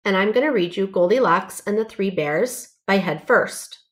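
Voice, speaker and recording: A woman's voice: mid-range voice, moderate pace. A woman narrating a children's storybook, reading aloud carefully and expressively.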